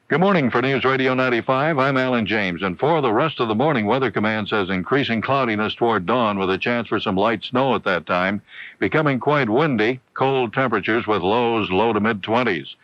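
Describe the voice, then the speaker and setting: An American man reads news and weather in a medium-pitched announcer's voice. He sounds very dry and close, on a slightly dull old AM radio broadcast. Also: no echo. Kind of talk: live news and weather reading.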